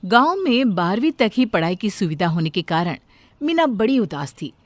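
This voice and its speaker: A woman's voice: medium pitch, big pitch swings, moderate pace, no echo. A woman narrating a scripted story in a dramatic storytelling style. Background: background music.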